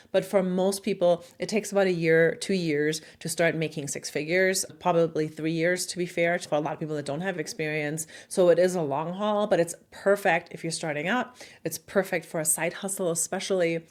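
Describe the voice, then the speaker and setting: Low-voiced German-accented woman. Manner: direct and at times silly. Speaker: marketing coach and YouTuber. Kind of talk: casual direct-to-camera advice vlog.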